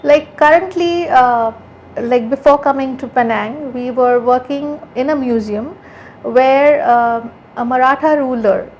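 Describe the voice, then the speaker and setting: A woman with a notably high voice speaks earnestly in a relaxed interview. She is an art conservator from India who thinks aloud, circling back and rephrasing as she goes. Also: slight background noise, low-quality recording. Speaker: art conservator, podcast guest. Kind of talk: casual interview conversation.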